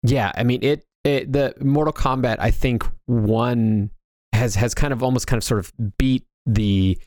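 Low-pitched man in casual pop-culture chat. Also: unhurried, darkish tone, dry recording, studio quality. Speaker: co-host of a video game discussion show.

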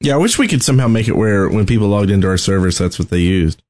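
Lower-voiced, informal man on a podcast. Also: animated, fast talker, a little mellow. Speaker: podcast co-host. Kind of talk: casual gaming podcast chat.

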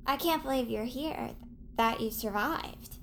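A woman's voice: high voice, unhurried, a bit bright, a little hiss or hum. An actress playing a skeptical young woman, a wary survivor with a questioning, sarcastic delivery in an audio drama. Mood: awkward, startled. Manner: questioning, snarky.

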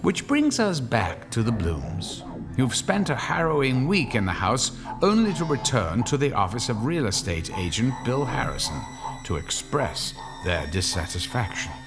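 A man's voice: medium pitch, very animated, measured pace, over music. A man playing a sly, theatrical horror storyteller who relishes grisly tales in dramatic scripted narration. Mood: grave, dramatic. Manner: theatrical, sly, dropping toward hushed emphasis.

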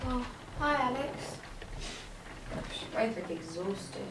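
A woman's voice: fairly high pitch, lively intonation, takes it slowly, far from the mic. An actress playing a weary, concerned woman of a household in an audio drama. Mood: tired, sociable. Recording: echoey room, off-mic, noisy.

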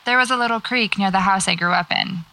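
An actress with an American accent playing a guarded, wry horror writer in a naturalistic audio drama, speaking tersely and dryly. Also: female voice, mid-range voice, fast talker, pushed and bright, low-quality recording. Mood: wry, relaxed.

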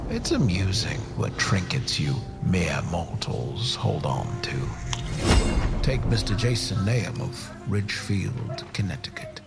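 Creaky, slow, ghoulish horror host. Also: male voice, sits low, exaggerated voice. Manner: slow, menacing, mock-grandiose, drawn-out words. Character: ghoulish immortal horror host, sardonic, sneering at mortals. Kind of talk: theatrical horror anthology narration. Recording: loud music behind the voice.

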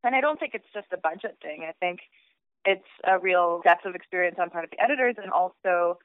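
A woman's voice: on the low side, brisk, clear, resonant tone, no echo. A woman in her thirties, raised in Switzerland, talking casually about her book in an interview, thinking aloud with fillers and restarts. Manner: thoughtful, frequent fillers and restarts. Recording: tinny phone-like sound.

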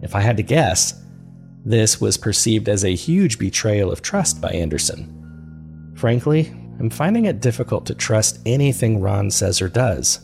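Horror-podcast investigator: low, gravelly, confiding, measured documentary narration over faint music. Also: male voice, lively intonation, a little mellow. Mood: earnest, wary. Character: male paranormal podcast host, earnest, uneasy investigator. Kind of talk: scripted documentary-style narration, confiding recap.

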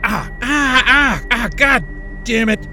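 An actor playing an irritable, excitable crewman with a sarcastic, profane streak in a science-fiction audio drama. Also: very high-pitched, takes it slowly, a little hiss or hum, heavily acted. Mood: pained, angry. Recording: music bed behind the voice.

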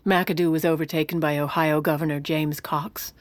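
A woman's voice: low-pitched, fairly even intonation, normal speed, dry recording, lightly acted. An actress playing a proud, sardonic aging former First Lady in an audio drama, wry and haughty with formal wording. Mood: wry, reflective. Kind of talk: audio fiction drama, first-person memoir narration and dialogue.

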